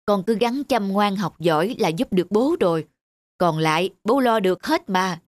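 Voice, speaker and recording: A man's voice: high voice, expressive, normal speed, dry recording. A man voicing a hard-working, honest father in a cartoon, earnest and fatherly. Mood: kind. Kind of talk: cartoon dubbing.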